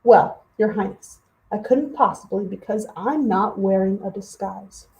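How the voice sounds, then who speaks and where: A woman's voice: medium pitch, a little slow, darkish tone, a little hiss or hum, pitched below the performer's own voice, lightly acted, poor audio. A woman voicing a cheeky, modest young man in a storybook, cheerful and polite. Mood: modest, assured. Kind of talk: storybook character voice.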